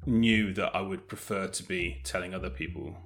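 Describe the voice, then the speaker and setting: Low-voiced man, hesitant interview guest. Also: moderate pace, fairly bright tone. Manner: hesitant, self-effacing, with trailing hedges. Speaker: guest, audio drama director and producer. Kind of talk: casual interview chat.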